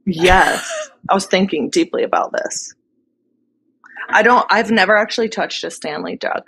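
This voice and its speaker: A woman's voice: mid-range voice, moderate pace, a bit bright, slight background noise. A woman from North Carolina with an American accent, chatting casually as a podcast guest in a slangy, self-deprecating, joking manner. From North Carolina, United States. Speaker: podcast guest, nurse anesthetist and content creator.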